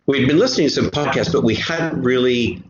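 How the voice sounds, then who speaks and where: A man's voice: medium pitch, brisk, a crowd in the background. A man talking casually as a podcast guest, talkative and often rephrasing himself mid-thought. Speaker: podcast guest, audio drama creator. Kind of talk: casual conversational interview. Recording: roomy, dull lo-fi, faint noise.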